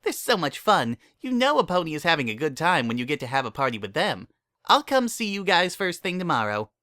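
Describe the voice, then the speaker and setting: A narrator voicing an excitable, chatty young female cartoon party pony with a squeaky, girlish, bubbly delivery. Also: brisk, no room sound, clean studio sound. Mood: gleeful. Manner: bubbly, gushing, emphatic.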